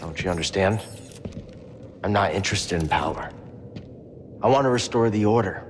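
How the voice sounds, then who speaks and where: An actor playing an idealistic young hero in a video game, sincere and understated. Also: male voice, low voice, lively intonation, moderate pace, a little hiss or hum. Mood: resolute, pleading. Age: young adult. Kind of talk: video game cinematic acting.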